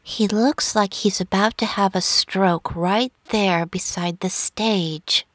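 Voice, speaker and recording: A woman's voice: mid-range voice, steady tone, takes it slowly, no echo, lightly acted. A woman voicing a bold, sly young woman on a covert job in an audiobook. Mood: amused.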